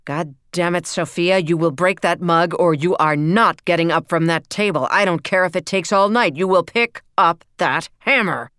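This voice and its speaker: A furious middle-aged father roars and barks his orders, as a woman reading the story puts him on, his voice pushed loud and strained. The sound is dry and close. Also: lowish voice, moderate pace, hardly any pauses, clear, resonant tone, dry recording, lightly acted. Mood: harsh. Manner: roaring, explosive.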